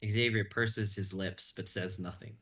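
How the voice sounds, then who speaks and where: A man's voice: on the low side, fairly even intonation, a little slow, a bit bright. A young man reading story narration aloud in an intense, suspenseful manner. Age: young adult. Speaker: stream host and narrator.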